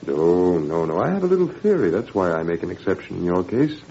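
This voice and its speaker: An actor in a 1940s radio drama playing a smug, menacing Nazi education official with a sardonic, mock-courteous manner. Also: male voice, deep voice, big pitch swings, fairly quick, gravelly, mellow, breathy edge, full character voice. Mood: silkily menacing. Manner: silky, sardonic, mock-courteous, drawling hesitations. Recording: dull, noisy 1940s radio recording.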